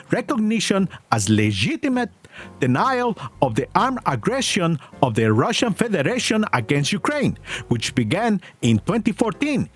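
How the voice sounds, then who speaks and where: A man with a non-native English accent reading court documents aloud in scripted commentary, with a mocking edge. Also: high voice. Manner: mocking, reads legal text aloud. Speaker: commentary youtuber.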